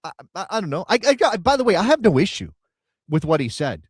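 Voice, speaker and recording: A man co-hosting a casual soccer talk show, emphatic and animated, often repeating words as he builds a point. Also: high-pitched, fast talker, breathy edge, no room sound, studio quality. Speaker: co-host and radio broadcaster.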